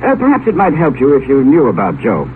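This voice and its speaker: An actor playing a genial, urbane older former scholar, reflective and courteous, in a 1950s radio drama. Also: male voice, medium pitch, huge pitch swings. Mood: wry, reflective. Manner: reflective, courteous, hesitant pauses. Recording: narrow-band, noisy vintage radio broadcast.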